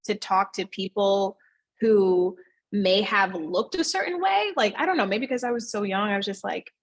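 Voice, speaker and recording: Woman, thirties, casual interview guest, chatty, animated quoting, mid pitch. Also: normal speed. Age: 30s. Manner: chatty, animated, acts out quoted voices. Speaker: fitness studio owner, guest.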